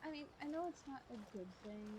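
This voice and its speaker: A woman's voice: sits high, expressive, fast talker, noisy background. Woman casually rambling over gameplay. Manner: rambling, earnest. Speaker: gaming streamer.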